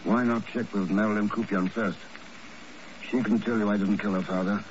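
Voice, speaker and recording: An actor playing a suave, dry, unflappable secret agent with a clipped manner in a 1950s radio spy drama. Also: male voice, fairly low pitch, fairly even intonation, normal speed, close to an everyday voice. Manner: wry, clipped. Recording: noisy, dull old radio recording.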